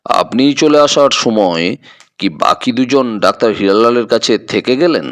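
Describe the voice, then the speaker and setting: A man's voice: medium pitch, animated, unhurried, a bit bright, voice pushed down. A man playing a polite, methodical police inspector with a formal, probing manner in an audio drama.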